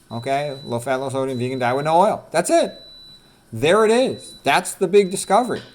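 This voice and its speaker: A man presenting an informal lecture, reading out quotes and adding his own asides, emphatically repeating key points and putting questions to the listener. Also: medium pitch. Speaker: presenter, medical doctor.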